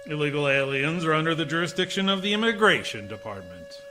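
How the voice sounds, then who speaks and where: A man's voice: a little high, huge pitch swings, fast talker, music in the background. A man playing a suspicious, sardonic and defiant military commander in a science fiction radio play, impatient and dryly sarcastic. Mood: sardonic, wary.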